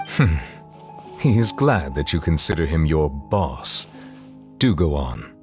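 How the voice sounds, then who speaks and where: A man voicing a solemn, formal male judge in a game, speaking in a measured, dry way. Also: extremely low pitch, big pitch swings, soft background music, heavily acted. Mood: grave.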